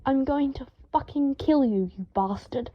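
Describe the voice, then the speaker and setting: A woman's voice: sits high, a little slow, highs cut off. A woman voicing a fussy, dramatic young wizard man who gets indignant and seethes, in an audiobook reading. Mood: seething. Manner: seething, hysterical outbursts, stiffly formal when restrained. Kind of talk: audiobook character voice.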